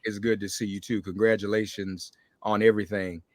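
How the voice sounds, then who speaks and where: A man's voice: lowish voice, flat delivery, a little fast, dry recording, studio quality. A man hosting a conversational interview show, welcoming guests and addressing them directly. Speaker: podcast host interviewing guests.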